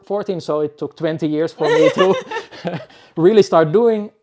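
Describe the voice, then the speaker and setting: A man in his forties from Finland, a DJ guest who speaks in a halting, thoughtful way with frequent restarts. Finnish and Dutch are his first languages. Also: on the high side, darkish tone, a little hiss or hum. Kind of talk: podcast interview.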